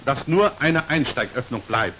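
A man's voice: mid-range voice, expressive, measured pace, bright, forceful tone, low-quality recording. Hissy 1940s training film narrator, man. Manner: precise, formal, instructional delivery. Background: hiss.

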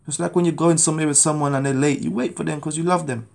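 Fervent gravelly Jamaican-born preacher. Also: male voice, on the high side, steady tone, fast, a little mellow. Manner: fervent, urgent pleading. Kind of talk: testimony and street preaching to camera. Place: small indoor room at home. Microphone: phone camera at arm's length.

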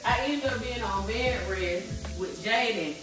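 Lively storytelling woman in an echoey kitchen. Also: mid-range voice, measured pace, distant mic. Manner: lively storyteller, addresses viewers as y'all. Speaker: vlog co-host, wife. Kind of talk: storytelling to viewers. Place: home kitchen, indoors. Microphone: far camera. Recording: very echoey kitchen from afar with music.

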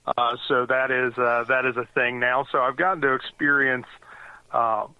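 A man's voice: medium pitch, fairly even intonation, moderate pace, no room sound. A man in his forties from the US East Coast, a guest author chatting casually in an interview and rambling with lots of fillers and restarts. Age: 40s. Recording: dry tinny phone-like line.

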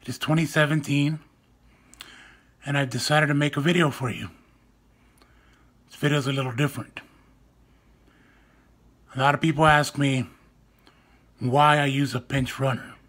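A young man vlogging casually to the camera at home, with a soft, weak, raspy voice and a slow, self-mocking, joking manner, recorded on a phone or webcam close to his face. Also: medium pitch. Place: indoors at home, small room.

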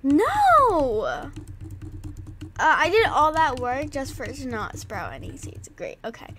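A woman's voice: sits high, huge pitch swings, slow, fairly bright tone, slight background noise. A woman with a stuffed-up nose casually commenting while she plays a game, chatting to herself and her horse. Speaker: gaming vlogger.

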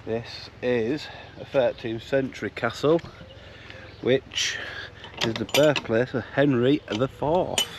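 A man's voice: mid-range voice, slow pace, background noise. A man with a Yorkshire accent chatting casually and jokily to his viewers as a van-life vlogger, in muffled on-location audio. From Yorkshire, England. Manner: chatty, informal, jokey. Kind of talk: casual vlog commentary to viewers.

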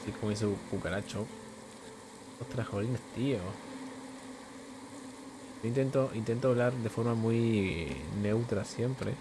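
A man's voice: mid-range voice, measured pace, long pauses, background noise. A young man from Venezuela streaming gameplay from home, chatting with his viewers and answering questions from chat at a desk microphone. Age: young adult. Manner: chatty, warm greetings to viewers, reads chat aloud. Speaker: gaming streamer. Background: faint game music.

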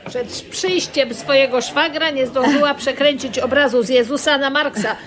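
An actress playing a brisk, showy tour guide in a radio play, declaiming her patter. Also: high-pitched, fairly bright tone. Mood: showy, inviting. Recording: music behind the voice.

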